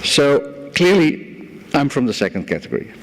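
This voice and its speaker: A man's voice: medium pitch, big pitch swings, moderate pace, a crowd in the background. A man from the Netherlands with a Dutch accent, speaking to a conference audience and telling stories with a light, self-deprecating humor. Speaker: guest speaker. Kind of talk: conference presentation. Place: conference hall. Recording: hall reverb from a lecture room.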